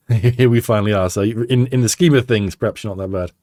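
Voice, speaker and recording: A man's voice: fairly low pitch, normal speed, a little mellow, no room sound. A man hosting a casual podcast, chatty and self-deprecating, a little stuffy from a cold. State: slightly stuffy nose from a cold. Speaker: podcast host. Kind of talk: casual chat.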